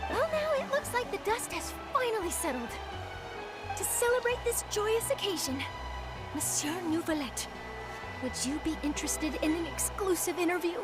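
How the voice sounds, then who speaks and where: A woman playing an excitable, bold young female reporter in a video game. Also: very high voice, moderate pace, loud music behind the voice, low-quality recording. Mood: eager.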